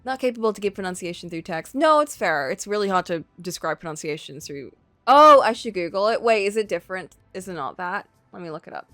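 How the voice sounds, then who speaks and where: A woman's voice: medium pitch, lively intonation, fairly quick, bright, forceful tone, no room sound, soft background music. A woman casually livestreaming a visual novel, chatting and thinking aloud as she goes. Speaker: streamer playing a visual novel. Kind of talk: casual livestream chat and reading game text aloud.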